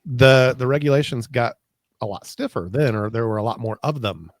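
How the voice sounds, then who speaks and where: A man's voice: medium pitch, moderate pace, no echo, studio quality. An American man in a casual tech chat as a co-host, informal and wryly grumbling, with folksy wording. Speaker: podcast co-host.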